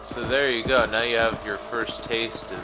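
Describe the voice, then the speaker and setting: A man's voice: medium pitch, level tone, normal speed, low-quality recording. A man giving casual, self-deprecating let's play commentary as he games. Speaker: gamer, video host. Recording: voice over loud game music. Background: game music and sounds.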